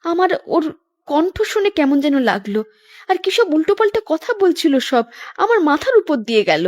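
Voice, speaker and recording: High, fretful middle-aged mother acted by a woman. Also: big pitch swings, normal speed. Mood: worried. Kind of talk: cartoon domestic drama.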